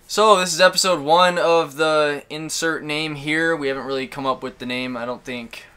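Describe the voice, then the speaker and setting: A man in his twenties from Michigan, co-hosting a crude casual podcast chat, loud and profane with frequent laughter. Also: fairly high pitch, steady tone, normal speed, clear, resonant tone. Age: 20s. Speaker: podcast co-host.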